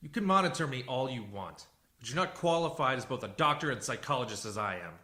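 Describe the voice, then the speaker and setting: A man's voice: medium pitch, lively intonation, fast talker, pushed and bright. A man acting in a radio play as a blunt, self-assured civilian interrogator and psychologist who talks in a dry, cutting way. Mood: irritated, cutting. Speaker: actor.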